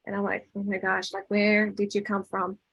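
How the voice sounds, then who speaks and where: A woman's voice: mid-range voice, monotone, normal speed, a bit bright, husky, no echo. A woman giving a casual inspirational talk, conversational, telling anecdotes with acted-out dialogue and checking in with her listeners. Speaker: speaker leading a spiritual talk.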